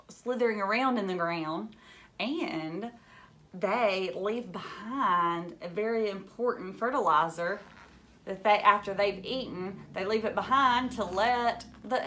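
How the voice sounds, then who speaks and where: Lady teacher doing a kids read-aloud, medium pitch, stops to explain stuff simply and ask the kids questions. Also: a bit bright. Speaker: teacher reading a children's book aloud.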